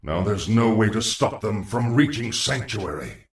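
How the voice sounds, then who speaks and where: Brief: servile but sinister lich, low and grave, drenched in echo, heard from a distance. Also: male voice, animated, a little slow. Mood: grave, deferential. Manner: grave, formal. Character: undead lich, servile yet sinister royal advisor. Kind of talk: fantasy game dramatic acting. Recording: echoing, distant-sounding voice.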